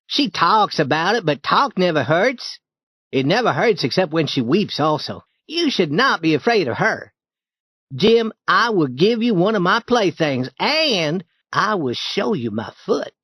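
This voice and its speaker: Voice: mid-range voice, a bit bright, dry recording, clean studio sound, pitched above the performer's own voice. A storyteller voicing a clever, mischievous young boy who coaxes and persuades. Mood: coaxing. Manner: coaxing, persuasive.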